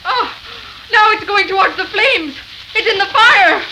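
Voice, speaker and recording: Very high-voiced anxious wife. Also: female voice, expressive, measured pace, pushed and bright, full character voice. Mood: alarmed. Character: frightened frontier wife Sue, anxious and pleading. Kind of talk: 1930s radio horror acting. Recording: noisy old 1930s radio recording.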